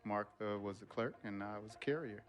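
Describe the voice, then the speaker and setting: A man playing a middle-aged, plain-spoken father in a game cutscene, folksy, deliberate and authoritative. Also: lowish voice, close to an everyday voice. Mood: warm reminiscing. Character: steady, principled, plain-spoken family father. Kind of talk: naturalistic dramatic acting in a game cutscene.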